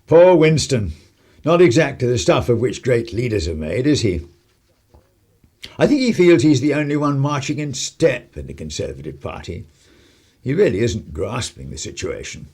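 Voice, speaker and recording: Actor as an animated, husky English politician. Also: male voice, medium pitch, normal speed. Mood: wry. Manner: wry, clubbable, old-fashioned upper-class phrasing. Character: urbane, worldly 1930s English male politician, wry and wary. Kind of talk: radio play acting.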